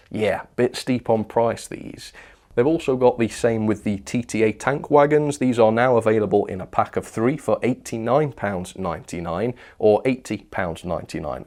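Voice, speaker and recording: A man's voice: fairly low pitch, dark tone. A man with a British accent giving a casual, opinionated product news commentary, speaking conversationally with little asides. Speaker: presenter of a model train review channel.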